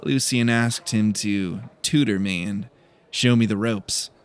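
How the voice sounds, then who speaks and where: A man's voice: fairly low pitch, takes it slowly, smooth. A man voicing an earnest young male student with a plain, wry, self-deprecating manner in an audiobook. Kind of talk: audiobook character dialogue.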